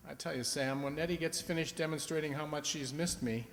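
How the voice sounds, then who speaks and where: A man's voice: a little high, a little fast, a bit bright. A man playing a weary middle-aged husband who complains and sighs, in old-time radio drama style. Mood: weary, complaining. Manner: complaining, sighing. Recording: echoey hall stage recording.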